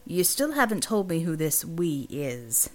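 A woman voicing a gruff, sardonic male loner in an audiobook, terse and folksy, guarded with strangers. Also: low-pitched, big pitch swings, unhurried, voice pushed down. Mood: sour, suspicious. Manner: terse, drawling, folksy, dropped words.

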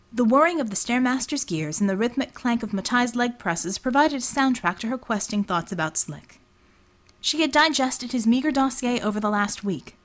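A woman reading scripted fiction as an audiobook narrator. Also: fairly high pitch.

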